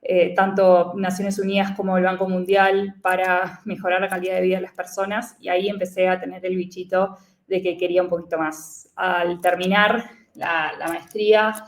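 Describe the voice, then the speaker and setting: A woman from Argentina, speaking with an Argentine accent as a guest investor, relaxed and full of fillers. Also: lowish voice, moderate pace, echoey room. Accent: Argentine Spanish. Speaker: guest, venture capital investor. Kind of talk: casual interview talk.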